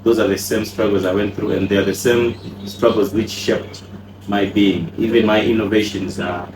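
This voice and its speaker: Low-voiced calm man in an echoey room. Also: moderate pace. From Uganda. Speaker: pharmacist and company founder, guest. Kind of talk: casual interview answers. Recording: echoey room, noisy background.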